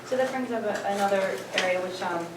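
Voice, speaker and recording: A woman's voice: medium pitch, fairly quick. A woman on a commission asking hesitant clarifying questions at a public hearing. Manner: hesitant, clarifying, many fillers. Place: town meeting room. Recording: echoey hall from far off with noise.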